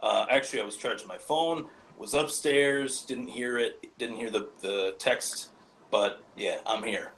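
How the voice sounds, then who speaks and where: A man's voice: medium pitch, a little slow, fairly bright tone. A man talking as a guest on a casual sports podcast, cocky and playful, with faint noise behind him.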